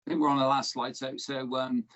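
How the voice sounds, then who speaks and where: A man's voice: medium pitch, little pitch movement, a little fast, fairly bright tone. A man moderating a webinar discussion, making his points emphatically and steering the talk. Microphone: video call. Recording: dull lo-fi call audio.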